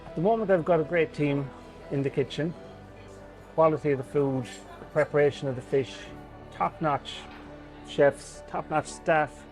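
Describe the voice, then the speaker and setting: A man's voice: on the high side, normal speed, a little mellow, soft background music, rough recording. Cheerful restaurant man. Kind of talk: interview.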